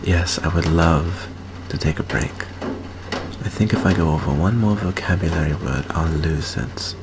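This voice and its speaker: A man playing an affectionate, anxious young student in an ASMR roleplay, speaking softly and hesitantly in an intimate hush. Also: sits low, normal speed, background noise. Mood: weary, exasperated. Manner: soft, hushed, hesitant, intimate. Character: anxious, affectionate young male vampire student, sentimental best friend.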